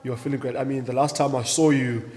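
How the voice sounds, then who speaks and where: A man's voice: mid-range voice, fairly even intonation, fast talker, a little mellow. A man hosting a casual interview, chatting informally with lots of "you know" along the way. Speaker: podcast host and interviewer. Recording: reverberant hall-like room.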